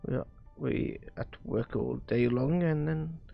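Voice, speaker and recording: Guy streaming a visual novel, reads lines out kinda halting w/ restarts, croaky fry in his voice, bit of music behind. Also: fairly high pitch, lo-fi sound. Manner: halting, stumbles and rereads lines. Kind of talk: live read-aloud of visual novel dialogue.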